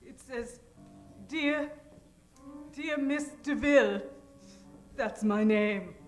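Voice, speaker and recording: A woman's voice: on the high side, drawn-out words, faint music behind. A woman playing a meek, hesitant, stammering damsel in distress in an improvised old-time radio parody. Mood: fearful. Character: timid, self-effacing young damsel in distress. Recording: roomy live stage sound.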